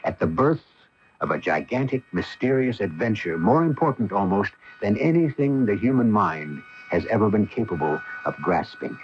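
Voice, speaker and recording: A man's voice: fairly low pitch, sing-song, moderate pace, slight background noise. A man giving dramatic scripted narration as the host of a radio drama. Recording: muffled AM radio broadcast with music.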